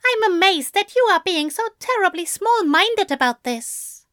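Female reader doing a fussy angel man: yelpy, pitched up, very put-upon, dry close sound. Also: huge pitch swings, slow, a bit bright, no room sound, full character voice. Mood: snappish. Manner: high, indignant, yelping. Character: fussy prim male angel, easily flustered.

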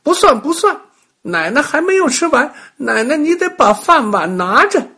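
A man plays a boastful little storybook boy, pitched far up and loudly insistent. Every phrase is exclaimed with childish excitement and lively swoops. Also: moderate pace, breathy edge, full character voice. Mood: indignant.

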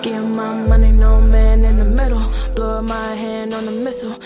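A woman's voice: fairly high pitch, monotone, normal speed. A woman performing rhythmic hip hop verses in a recorded song. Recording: loud music track.

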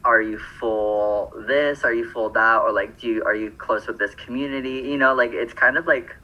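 A man's voice: mid-range voice, fairly even intonation, normal speed, lo-fi sound. A man from Phoenix co-hosting a podcast, chatting casually and rambling through lots of fillers and self-corrections. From Phoenix, Arizona. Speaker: podcast co-host.

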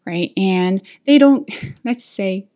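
A woman's voice: mid-range voice, animated, slow pace, mellow. A woman who is not a native speaker of English, hosting a casual solo podcast and thinking aloud with many fillers and restarts, recorded on a camera mic. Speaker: podcast host and teacher.

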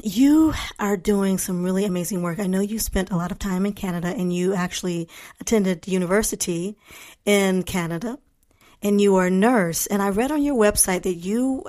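A woman's voice: medium pitch, normal speed, darkish tone. A woman hosting a podcast, introducing her guest and asking interview questions.

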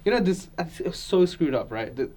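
A man's voice: sits high, moderate pace. A man hosting a casual chat, telling stories with lots of laughter. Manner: laughing, animated storyteller. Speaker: podcast host.

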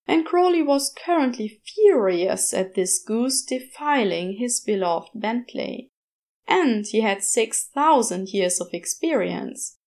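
A woman's voice: a little high, gravelly, a little mellow. A woman reading a story aloud as scripted narration. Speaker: podfic reader.

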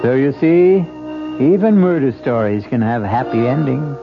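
A man's voice: mid-range voice, lively intonation, moderate pace, creaky, dull audio. Theatrical radio mystery host, man, music behind. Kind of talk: scripted theatrical narration.

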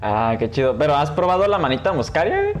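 A man's voice: on the high side, wildly swooping pitch, fast talker, slight background noise. A man with a Mexican accent hosting a podcast in a casual, slangy, chatty way. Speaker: podcast host. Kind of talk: casual chat.

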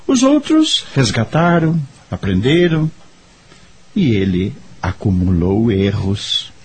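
An actor with a Brazilian accent playing a kindly, wise doctor in a radio soap opera, speaking in a measured, earnest, theatrical way. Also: male voice, medium pitch, sing-song, a little mellow, husky, full character voice. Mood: solemn, earnest. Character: kindly, wise adult male doctor, solemn and reflective. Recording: background music under the voice.

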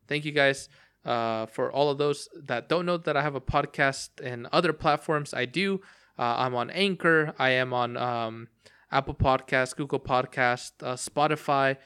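Rambling young man with a bright voice. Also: mid-range voice, clear, resonant tone. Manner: rambling, many fillers and restarts. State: voice cracking from long talking. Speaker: podcast host. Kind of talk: casual solo game talk.

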